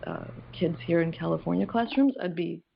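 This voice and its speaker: A woman's voice: fairly low pitch, brisk, creaky. A woman calling in to a conference call from home, chatty and enthusiastic, with many restarts. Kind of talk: casual call-in comment. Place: home, California. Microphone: phone or computer on a conference call. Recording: muffled conference-call audio, faint noise.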